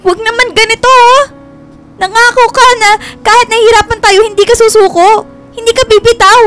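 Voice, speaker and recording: A woman's voice: very high voice, fairly even intonation, brisk, fairly bright tone. An actress playing a devoted, clingy young girlfriend in a radio drama, emotional and insistent in her pleading. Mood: tearful pleading. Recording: music bed under the voice.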